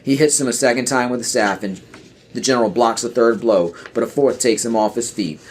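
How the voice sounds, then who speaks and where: A man's voice: medium pitch, fairly quick, slight background noise. A man reading scripted screenplay narration and scene headings for a podcast audio play.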